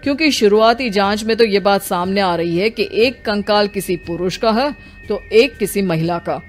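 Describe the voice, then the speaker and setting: A woman's voice: mid-range voice, normal speed, lightly acted. A woman takes the part of a senior police officer in a cartoon tale. He sounds methodical and official, putting questions with authority over background music. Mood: official, firm. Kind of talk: Hindi animated moral story dialogue.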